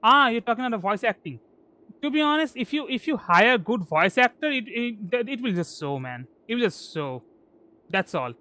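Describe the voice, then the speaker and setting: A man casually commentating a game on a live stream, halting and often repeating his words mid-sentence. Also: very high-pitched, big pitch swings, bright, forceful tone.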